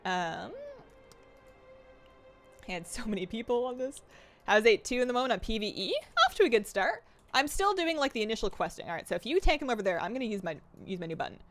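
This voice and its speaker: A young woman streaming a game live, chatting excitedly and reading her chat aloud. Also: on the high side, fast talker, faint music behind.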